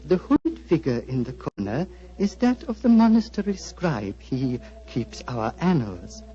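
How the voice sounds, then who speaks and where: An actor playing a gentle, wistful old monastery abbot, speaking in a solemn, fatherly, flowery manner of 1940s radio drama. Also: on the high side, big pitch swings, unhurried. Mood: serene. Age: older. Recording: dull, muffled 1940s radio recording.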